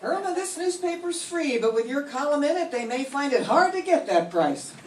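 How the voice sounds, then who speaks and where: A woman's voice: high-pitched, big pitch swings, moderate pace, recorded from a distance, a little hiss or hum. An older woman playing Jane, a wry, sensible young woman, in a staged 1940s radio comedy reading. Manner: dry, sarcastic. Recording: roomy hall, heard from afar.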